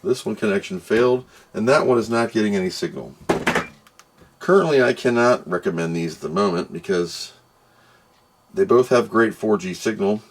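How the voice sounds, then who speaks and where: A man with a fairly low voice hosts a casual product test. He talks off the cuff, thinking aloud and grumbling as he works things out. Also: normal speed, slight background noise. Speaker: host of a product test video. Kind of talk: casual unscripted product review.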